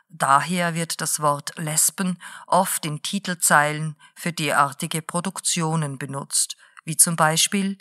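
Give me a woman playing a slow, deliberate radio lecturer, low-ish and dry. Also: sharp tone, dry recording, exaggerated voice. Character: calm female late-night radio lecturer. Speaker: radio presenter.

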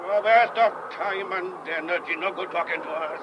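A man's voice: high voice, normal speed, muffled recording, background noise, close to an everyday voice. An actor in a radio drama playing a fatherly, exasperated country police sergeant. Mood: gruffly coaxing.

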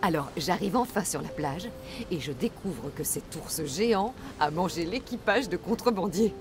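A woman playing a bold, self-assured mercenary hero in a video game, with a warm, teasing delivery. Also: lowish voice, animated, normal speed, natural voice. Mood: confident, playful. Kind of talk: dramatic game dialogue. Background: background music.

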